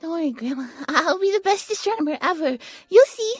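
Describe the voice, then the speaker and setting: A timid, eager little bunny girl in an audio roleplay. The small childlike voice is extremely high and swings widely in pitch. Speech comes slowly and haltingly, with music underneath. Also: lively intonation, no room sound. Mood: eager, proud. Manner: hesitant, stammering.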